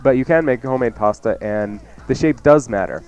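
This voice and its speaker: A man's voice: medium pitch, brisk, a little mellow, soft background music. A man who is a chef and radio co-host, giving casual cooking advice.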